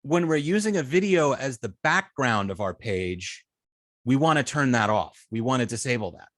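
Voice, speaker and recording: A man giving a live coding tutorial as an instructor, explaining step by step with halting phrasing, frequent ums and self-corrections. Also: medium pitch, lively intonation, a bit bright, no echo. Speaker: web development instructor.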